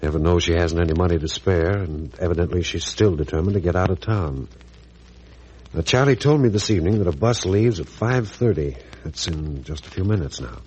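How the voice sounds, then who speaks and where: An American actor playing an earnest, stubborn, troubled physician husband in a 1940s radio drama. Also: male voice, low voice, lively intonation, brisk, a little mellow, breathy edge, dull audio. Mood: insistent. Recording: old 1940s radio recording.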